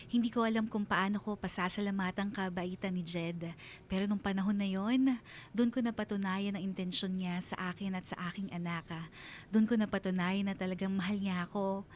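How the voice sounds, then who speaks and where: Lady playing heartfelt mom narrating her love story on pinoy radio, medium pitch, faint rumble behind. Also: steady tone, moderate pace, natural voice. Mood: heartfelt, grateful. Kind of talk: dramatised letter narration on radio.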